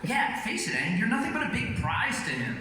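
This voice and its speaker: Goofy, stubborn cartoon teen boy; sarcastic, very lively intonation, echoing hall. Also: high voice, a little fast, recorded from a distance, heavily acted. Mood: skeptical. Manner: sarcastic, dramatic. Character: skeptical, goofy, stubborn teenage boy, comic sidekick. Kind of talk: live table read of animated series. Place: large reverberant convention hall. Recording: echoey hall from afar, background noise.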